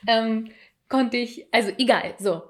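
A woman's voice: fairly high pitch, unhurried. A woman around thirty in casual conversation, telling a personal story candidly, with restarts and self-mocking asides. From abroad, came to Germany at ten. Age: 30s.

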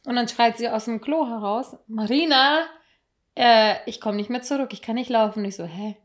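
A woman with a fairly high-pitched voice chats as a podcast co-host. Her delivery is informal, colloquial and playful. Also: moderate pace. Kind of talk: casual chat.

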